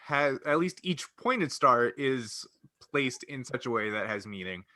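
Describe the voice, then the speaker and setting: A man's voice: medium pitch, animated, unhurried, pushed and bright. A man co-hosting a podcast in a casual group chat, with a wry, joking manner. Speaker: podcast co-host.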